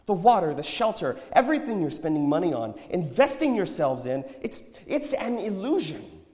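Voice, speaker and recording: A man's voice: high-pitched, big pitch swings, normal speed, dark tone, voice pushed up. A man playing an impassioned prophet preaching in a reverberant hall. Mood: urgent, challenging. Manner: declamatory, pleading, pointed. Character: impassioned male prophet, tender yet challenging street preacher. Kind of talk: dramatized scripture storytelling. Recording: echoey hall with long reverb.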